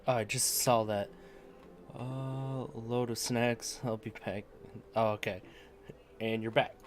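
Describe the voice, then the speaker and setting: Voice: mid-range voice, level tone, slow pace. A game streamer giving casual, laid-back commentary, often echoing the game's lines.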